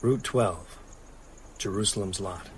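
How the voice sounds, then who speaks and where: An actor playing a grieving, haunted man in a horror audio drama, intense and halting under strain. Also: on the low side, a little hiss or hum. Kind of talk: audio drama acting with first-person narration.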